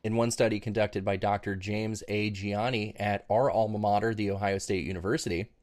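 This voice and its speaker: A man's voice: fairly low pitch, little pitch movement, a little fast, a little mellow. A man co-hosting a podcast, reading research out in a dry mock-academic way with laughing asides. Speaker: podcast co-host. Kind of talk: casual podcast chat, reading research aloud.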